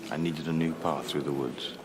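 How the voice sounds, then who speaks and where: A man's voice: a bass voice, fairly even intonation, normal speed, a crowd in the background. An actor playing a proud, defiant male composer in a naturalistic period drama, blunt and self-assured. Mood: firm, earnest.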